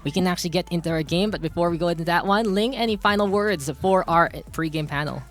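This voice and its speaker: A man's voice: high voice, fast talker, fairly bright tone, faint music behind. A Filipino-accented man hosting and commentating on esports, chatty and playful, mixing English with Tagalog as he builds hype. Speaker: esports caster and panel host.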